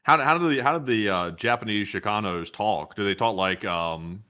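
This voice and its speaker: A man's voice: on the low side, moderate pace, pushed and bright, clear, resonant tone. A man chatting casually as a co-host, joking around in laid-back slang. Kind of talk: casual chat.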